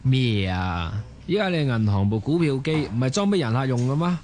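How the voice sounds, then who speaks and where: A man's voice: mid-range voice, sing-song, brisk, darkish tone, a little hiss or hum, natural voice. Man doing washed-up boozy hong kong cantonese gambler type, grumbly, very up-and-down delivery, radio drama. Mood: indignant, groggy. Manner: blustering, grumbling. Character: down-on-his-luck, belligerent, boozy failed stock speculator.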